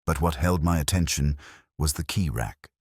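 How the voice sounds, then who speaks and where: A man narrating a first-person detective story in a measured, literary, brooding manner with a husky voice. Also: extremely low pitch, no echo, studio quality.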